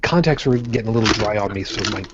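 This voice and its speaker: Guy, jokey and blunt, swoopy lively voice, kinda muffled audio. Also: on the low side, quick pace, background noise. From Brooklyn, New York. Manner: blunt, jokey, profane, with many restarts. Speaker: podcast co-host. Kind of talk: casual live-stream chat.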